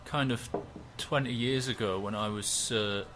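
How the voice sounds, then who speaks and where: A man, a stand-up comedian chatting in a relaxed, rambling, self-mocking way. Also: medium pitch, a little slow, noisy background. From West Yorkshire, England. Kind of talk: relaxed interview chat.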